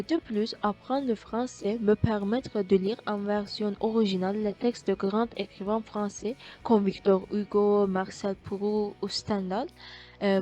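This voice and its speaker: A young woman reciting a prepared answer as a language student, often pausing on fillers. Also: medium pitch. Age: young adult. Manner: hesitant, with frequent "euh" fillers. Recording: slightly dull, muffled audio. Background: soft background music.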